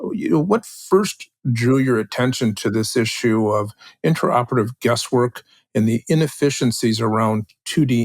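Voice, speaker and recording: A man's voice: medium pitch, no echo. Upbeat male podcast host, dry sound. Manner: friendly, upbeat questions with fillers. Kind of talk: podcast interview.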